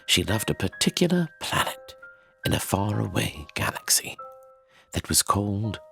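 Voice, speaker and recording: An actor playing a grave storyteller of ancient legends, narrating a fantasy audio drama in a solemn, portentous manner. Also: male voice, fairly low pitch, very animated, moderate pace, vocal fry, sharp tone, soft background music, full character voice. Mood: solemn reminiscing.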